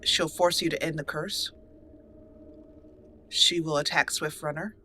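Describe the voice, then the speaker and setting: A woman hosting a let's play, narrating in a theatrical, deliberate roleplay style with dramatic pauses. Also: low-pitched, fairly even intonation, bright, forceful tone, a little hiss or hum. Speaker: gaming channel host.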